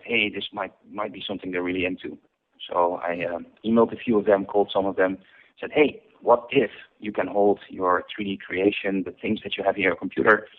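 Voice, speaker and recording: A man from the Netherlands, a company founder giving an interview. He speaks in a measured way with many ums and uhs. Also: low voice. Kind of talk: interview over the phone. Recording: tinny phone line.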